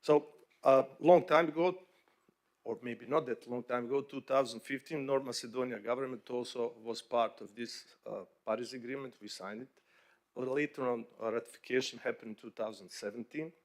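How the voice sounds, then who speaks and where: A man from Bosnia speaks English as an at a conference panel. He talks in a halting, conversational way, with frequent fillers and restarts. His voice sits at a middle pitch. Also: steady tone. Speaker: bank executive panelist.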